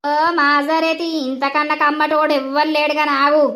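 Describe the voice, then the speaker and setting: A woman's voice: high-pitched, level tone, moderate pace, pushed and bright. An actress playing a worried village mother who consoles her crying son. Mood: soothing. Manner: consoling, tearful pleading. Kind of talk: village drama acting.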